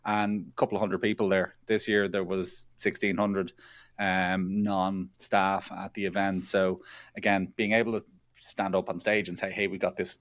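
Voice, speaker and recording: A man's voice: deep voice, little pitch movement, normal speed, a bit bright, no echo, clean studio sound. A man speaking as a guest in an interview, chatty and self-deprecating, often repeating his phrases. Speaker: guest, product executive. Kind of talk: conversational interview answers.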